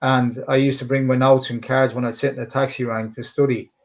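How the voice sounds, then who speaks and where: A man's voice: medium pitch, level tone, a little fast. A middle-aged man with a somewhat polished Dublin Irish accent, a cutman answering interview questions in an earnest, emphatic way, rambling and restarting his sentences. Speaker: boxing and MMA cutman, interview guest.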